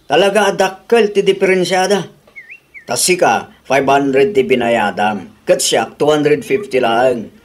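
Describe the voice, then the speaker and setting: A man's voice: medium pitch, a little slow, lightly acted. A man with an Ilocano accent playing a thrifty husband who argues about prices. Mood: arguing. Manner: arguing, reasoning. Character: frugal husband. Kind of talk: radio drama acting.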